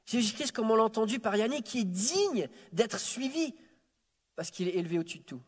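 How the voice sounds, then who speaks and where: Guy preaching, stumbles and repeats words a lot, pretty high voice, long church reverb. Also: lively intonation, brisk, husky. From France. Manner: warm self-deprecating humor, frequent stammered repeats. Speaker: guest preacher. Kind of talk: sermon. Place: church sanctuary.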